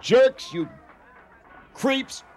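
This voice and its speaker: A man's voice: very high voice, very slow, a little hiss or hum, full character voice. An actor playing an overweight, opinionated, theatrical male cook in a radio comedy-drama. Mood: irritated, ranting. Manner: emphatic, opinionated, excitable. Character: overweight food-obsessed male cook, passionate, touchy and theatrical.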